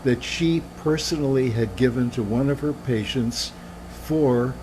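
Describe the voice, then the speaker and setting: A woman's voice: extremely low pitch, unhurried, background noise. A woman chiming into a chat, hesitant and searching for names as she talks. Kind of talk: casual chat.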